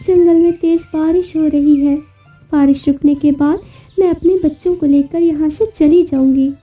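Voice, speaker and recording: A woman's voice: high-pitched, fairly even intonation, moderate pace, mellow, pitched above the performer's own voice, close to an everyday voice. A woman voicing a small, polite, earnest mother sparrow in a children's cartoon story. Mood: pleading. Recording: muffled audio with music behind.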